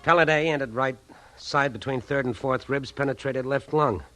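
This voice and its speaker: Actor as a sharp, agitated, cornered man. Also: mid-range voice, moderate pace, no room sound, soft background music, close to an everyday voice. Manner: agitated, melodramatic. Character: desperate, cornered man protecting his lover. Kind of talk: 1940s radio acting. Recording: 1940s radio recording.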